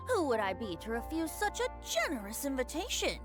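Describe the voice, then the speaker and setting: High-voiced, playful young tippler. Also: female voice, wildly swooping pitch, moderate pace. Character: playful young female drinker, breezy, teasing, fond of wine. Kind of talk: video game cutscene voice acting. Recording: game music behind the voice.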